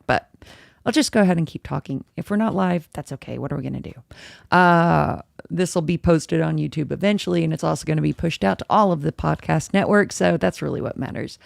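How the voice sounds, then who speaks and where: A woman hosting her own casual podcast, a little hoarse, chatty and rambling as she interrupts herself. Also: a little mellow, dry recording, clean studio sound. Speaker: podcast host. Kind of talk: casual solo podcast chat, live-streamed. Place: home room with dogs. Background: dogs and a squeaky toy at times.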